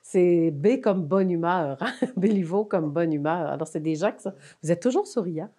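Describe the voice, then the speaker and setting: Husky Québécois woman host. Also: fairly low pitch, lively intonation, normal speed, mellow. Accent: Québécois French. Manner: friendly, filler-heavy questioning. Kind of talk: talk show interview.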